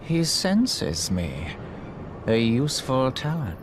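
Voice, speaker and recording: An actor playing a sinister, disdainful otherworldly sorcerer villain in a video game, with a menacing, grandiose and formal delivery. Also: male voice, mid-range voice, sing-song, slow. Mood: coldly intrigued. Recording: clear background noise.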